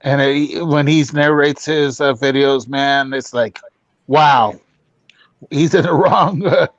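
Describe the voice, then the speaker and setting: A man's voice: fairly high pitch, fairly even intonation, slow pace, no echo, dull audio. A man hosting a live stream chat, teasing the guests and laughing often. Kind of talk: casual chat.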